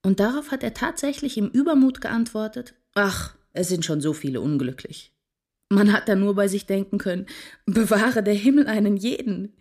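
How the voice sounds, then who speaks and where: An actress playing a scornful yet moved observer in a radio drama, with refined, literary diction. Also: female voice, mid-range voice, lively intonation, moderate pace, a little mellow. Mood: wry, moved.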